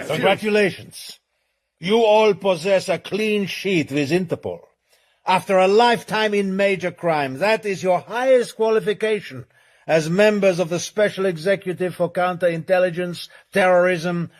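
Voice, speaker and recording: A man acting in a radio drama as the coldly authoritative chairman of a criminal syndicate, formal, measured and commanding. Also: high voice.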